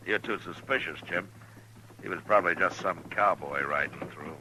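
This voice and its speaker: An actor playing a steady, watchful marshal in an old-time radio western, speaking in a measured, probing, laconic way. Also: male voice, low-pitched, slight background noise, heavily acted, rough recording. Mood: dry, steady. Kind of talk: old-time radio western drama, partly first-person narration. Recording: old radio recording with music.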